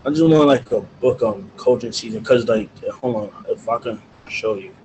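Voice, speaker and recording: A man from New York, an acting student, speaking casually and haltingly as he asks for help. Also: mid-range voice, a little mellow. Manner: halting, hesitant. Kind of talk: casual, tentative question. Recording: noisy mic with room ambience.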